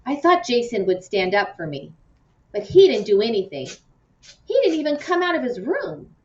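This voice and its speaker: A woman's voice: fairly high pitch, big pitch swings, normal speed, darkish tone, voice pushed up. A woman voicing a hurt, indignant young girl from a storybook, urgent and aggrieved. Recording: muffled, roomy, distant from mic.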